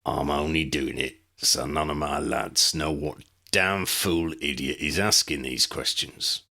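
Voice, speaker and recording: A man voicing a gruff, shady middle-aged wizard dealer who talks in rough slang. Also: very deep voice, fairly even intonation, measured pace, sharp tone, pitched below the performer's own voice, exaggerated voice. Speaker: voice actor.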